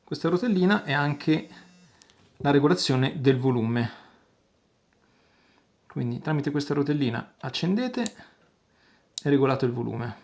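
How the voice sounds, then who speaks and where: A man giving an informal product review, explaining step by step with hesitant pauses. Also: on the high side, expressive, darkish tone.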